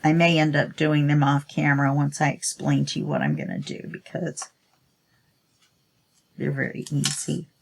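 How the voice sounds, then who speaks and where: Low gravelly woman, stuffy and chatty. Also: moderate pace. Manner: chatty, self-talking while working, sniffly. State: stuffy nose. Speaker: crafting channel host. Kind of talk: casual crafting tutorial talk.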